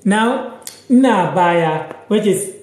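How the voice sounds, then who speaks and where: A young man from Ghana giving a relaxed live lesson from a sofa in a small room, talking slowly and saying each word over and over. His voice sits fairly high, and it rings a little in the echoey room. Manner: slow, repeats each word and sentence several times. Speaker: language teacher on a livestream. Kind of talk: casual live lesson, reading out viewer comments.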